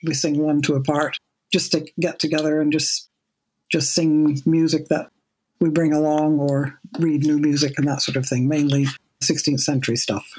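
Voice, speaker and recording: A woman in her seventies with a low speaking voice, chatting as a podcast guest in a measured, thoughtful way, often repeating a word as she restarts. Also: flat delivery, darkish tone, breathy edge, low-quality recording. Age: 70s. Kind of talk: casual podcast interview.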